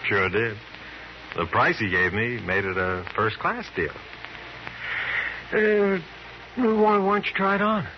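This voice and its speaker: A lovestruck police captain on old time radio, lowish voice, teasing but tender, muffled audio. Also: male voice, animated, takes it slowly, pauses often. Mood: teasing, eager. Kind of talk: 1950s radio drama acting. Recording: muffled old radio recording, faint noise.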